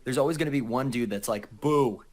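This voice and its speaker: A man chatting casually in a halting, empathetic manner. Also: mid-range voice, a little mellow. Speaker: public speaker. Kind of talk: casual chat.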